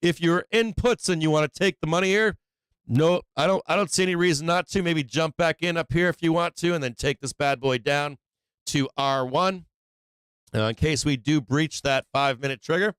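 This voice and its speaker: A man's voice: on the high side, moderate pace, a bit bright, smooth, no room sound. A man hosting a live stock trading stream, chatting casually and bluntly in slangy, profanity-laced talk. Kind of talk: casual live stream chat and market commentary.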